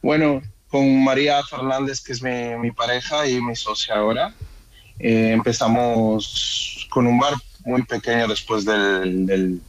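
A man's voice: mid-range voice, steady tone, unhurried, a crowd in the background, low-quality recording. Friendly man from Ecuador on a video call. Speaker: guest, bar owner. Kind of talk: casual interview over video call.

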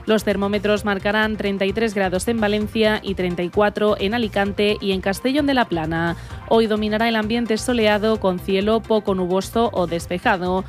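A female weather reporter with a Spanish accent reads a scripted radio forecast. Her pitch is average, and faint music runs underneath. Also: fairly even intonation, normal speed. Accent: Spain.

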